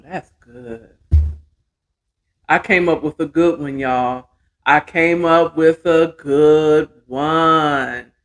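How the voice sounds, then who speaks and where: Slow-talking American woman, casual host. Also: low voice. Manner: folksy, talks to herself while working. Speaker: host of a storytelling show. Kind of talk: casual chat to viewers.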